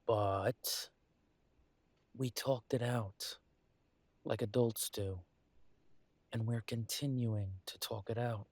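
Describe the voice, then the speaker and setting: A man playing a caring, weary werewolf detective boyfriend in an intimate roleplay audio drama, speaking in an earnest, gently coaxing, conversational way. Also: mid-range voice, steady tone, slow pace, pauses often, no room sound. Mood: earnest, exasperated.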